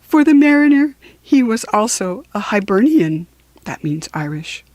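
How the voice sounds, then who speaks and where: A woman storyteller reading a children's tale expressively, with theatrical, deliberate emphasis. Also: fairly high pitch, big pitch swings, a little mellow, no room sound.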